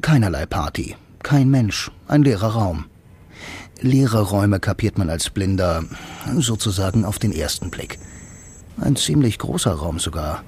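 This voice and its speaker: A man's voice: on the low side, lively intonation, unhurried, darkish tone, slightly rough, full character voice. An actor playing a blind bank clerk who narrates his own story in a dry, laconic, musing way for a radio drama. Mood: sober, observant. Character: blind male bank clerk, wry film noir loner.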